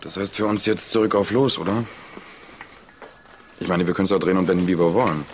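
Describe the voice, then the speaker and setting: A man's voice: deep voice, a little fast, slight background noise, natural voice. An actor playing a plain-spoken male police detective in a naturalistic crime audio drama. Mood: doubtful. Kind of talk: naturalistic German radio drama acting.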